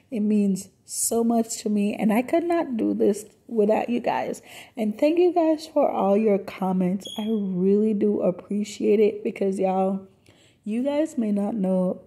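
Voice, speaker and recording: A young adult woman and mom doing a chatty, soft voiceover for her cleaning vlog, talking to her viewers as y'all and guys. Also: mid-range voice, vocal fry. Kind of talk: voiceover narration over cleaning footage. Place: home, recorded as voiceover.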